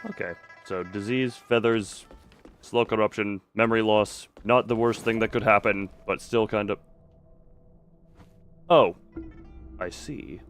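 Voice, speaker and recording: A man giving casual live game commentary, halting and wry as he thinks aloud. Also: lowish voice, a bit bright. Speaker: game streamer. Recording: faint game music behind.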